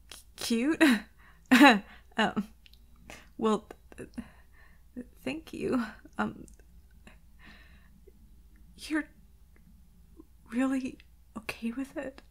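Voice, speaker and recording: A woman playing an anxious, tender werewolf girlfriend in an intimate ASMR roleplay, stammering and hesitant in a breathy half-whisper. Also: fairly high pitch, full character voice. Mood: shy, surprised. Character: anxious, tender werewolf girlfriend, scared of hurting her partner.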